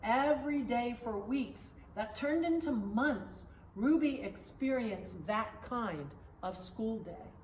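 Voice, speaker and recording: Female storybook narrator, higher-pitched and very expressive, deliberate phrasing, recorded in an echoey room. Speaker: pastor reading a storybook. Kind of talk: slow read-aloud storybook narration. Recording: echoey room, distant mic, faint noise.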